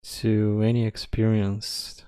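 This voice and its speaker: A man leading a guided meditation as its teacher, speaking calmly in spare, measured phrases with long pauses. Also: lowish voice, smooth, dry recording. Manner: calm, measured.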